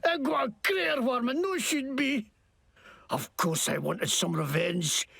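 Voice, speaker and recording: A gravelly fake-Scottish wasteland crook. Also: male voice, very high-pitched, lively intonation, measured pace, a bit bright, no echo, studio quality, full character voice. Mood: indignant. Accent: put-on, badly faked Scottish. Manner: profane, jumpy, indignant ranting. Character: crude scheming wasteland grease-monkey man faking Scottish. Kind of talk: video game character acting.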